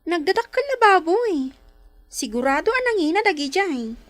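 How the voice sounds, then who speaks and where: An actress playing an innocent, curious young daughter in a Philippine radio drama, childlike and questioning. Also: female voice, very high-pitched, very animated, moderate pace, a bit bright, no echo, full character voice. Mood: excited, curious.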